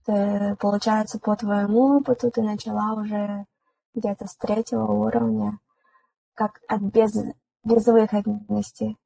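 A woman's voice: mid-range voice, little pitch movement, a little slow, creaky, dark tone, slightly rough, dry recording, rough recording. A young woman hosting a podcast, asking questions and then sharing her own experience. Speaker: podcast host. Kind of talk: casual chat.

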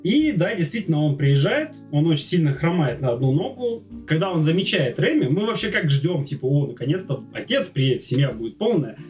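A man's voice: on the high side, sing-song, brisk, few pauses, darkish tone, distant mic, soft background music. A man hosting a casual podcast, retelling a plot loosely with lots of fillers. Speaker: podcast host.

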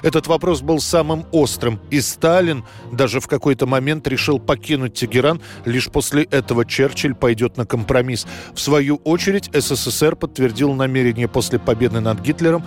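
A man narrating a scripted history programme in a measured, storytelling way. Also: mid-range voice, soft background music.

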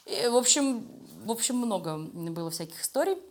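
A woman's voice: on the high side, animated, normal speed. A woman being interviewed, talking casually and telling stories in informal, slangy speech. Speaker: animation studio creative director being interviewed. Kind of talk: casual conversational storytelling interview.

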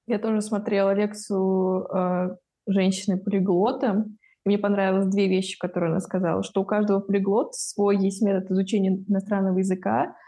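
A young woman in her twenties speaks in a warm, mellow voice. She talks things through thoughtfully, in a relaxed, explanatory podcast manner. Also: medium pitch. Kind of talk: casual podcast chat.

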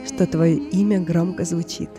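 A woman reading a scripted personal story in a measured, reflective way, in short phrases. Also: fairly low pitch, fairly even intonation. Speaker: narrator of an audio performance. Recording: music bed under the voice. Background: music.

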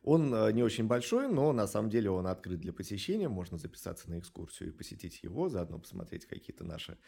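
A man speaking as a studio director in an interview, explaining things in a measured, articulate way with rhetorical emphasis. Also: on the low side, sing-song, vocal fry, warm tone, slightly rough.

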